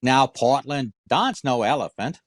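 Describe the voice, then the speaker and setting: A man acts as a wisecracking old-time radio comedian. His delivery is deadpan and sardonic, with animated pitch movement and a very dry sound. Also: unhurried, no room sound. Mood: dry, teasing. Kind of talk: 1940s radio comedy acting.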